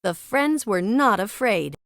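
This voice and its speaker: A woman narrating a read-along children's storybook with expressive, dramatic storytelling. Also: medium pitch, takes it slowly, no room sound, clean studio sound.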